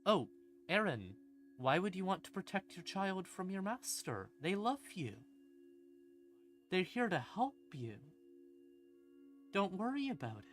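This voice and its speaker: Man putting on a high mock-sweet coaxing busybody. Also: sing-song, a little slow, pauses often, a bit bright, full character voice. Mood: mock reassuring. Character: mocking, falsely reassuring busybody in high voice. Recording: ambient music behind the voice.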